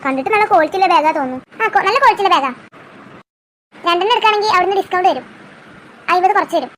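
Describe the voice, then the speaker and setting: A woman's voice: extremely high pitch, lively intonation, rapid-fire, fairly bright tone, a little hiss or hum. A woman with a Kerala Malayalam accent voicing a girl in a homemade comedy cartoon. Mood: chatty.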